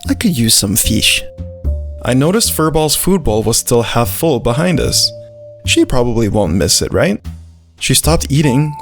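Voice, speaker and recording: Earnest young dog acted out, food-loving, naive, lively swooping delivery, visual novel narration, music behind. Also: medium pitch. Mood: playful, innocent. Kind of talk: first-person visual novel narration with character dialogue.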